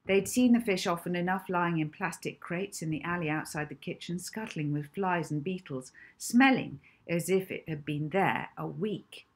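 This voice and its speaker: Husky, low-voiced woman reading a story. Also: moderate pace, few pauses. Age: middle-aged. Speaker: author reading own novel. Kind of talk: scripted storybook narration. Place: indoor room at home.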